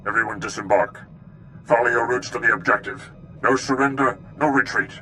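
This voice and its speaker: An actor playing a commanding, serene Jedi master in a video game, speaking in a formal, measured, oratorical way. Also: male voice, mid-range voice, fairly even intonation, pushed and bright, soft background music, low-quality recording. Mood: rousing. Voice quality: gravelly. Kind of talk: cinematic video game acting.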